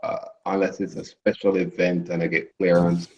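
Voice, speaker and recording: A man's voice: deep voice, flat delivery, normal speed, a little mellow. A man from Jamaica with a Jamaican accent, chatting casually in a group and often restarting his sentences. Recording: dull lo-fi audio.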